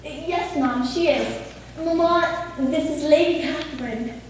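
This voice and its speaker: Proud young gentlewoman played by a woman, high voice, formal quick-witted replies, period stage, very echoey. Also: very noisy. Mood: polite, uneasy. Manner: crisp formal retorts. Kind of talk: period stage play acting. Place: theatre stage. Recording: echoey stage recorded from far off.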